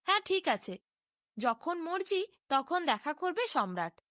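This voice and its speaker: A woman's voice: very high-pitched, sing-song, a little slow, fairly bright tone, no room sound, clean studio sound, heavily acted. A woman voicing a stern male holy hermit who admonishes and commands in a storybook tale. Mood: slighted.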